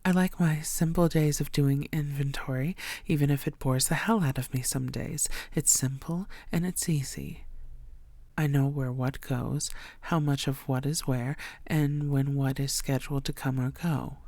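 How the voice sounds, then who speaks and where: Woman acting a slow, low-key predatory female vampire. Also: fairly even intonation, dark tone, no room sound, full character voice. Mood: bored, guarded. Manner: teasing, breathy half-whispers, slow deliberate delivery. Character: possessive, flirtatious, menacing female vampire stalking prey. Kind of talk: intimate roleplay audio acting.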